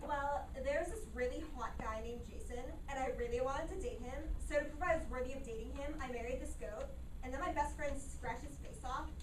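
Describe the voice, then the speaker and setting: A woman's voice: high-pitched, fairly quick, lightly acted. A woman playing a ditzy, whiny young wife with slangy, like-filled talk in an amateur staged reading in an echoey room. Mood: vapid. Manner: valley-girl slang, filled with "like" and "totes". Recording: echoey room, far mic, faint noise.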